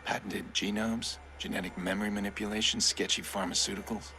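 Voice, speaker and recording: An actor playing a cynical hacker in a video game, talking in a casual, conspiratorial way. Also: male voice, on the low side, level tone, fairly quick, pushed and bright, a little hiss or hum.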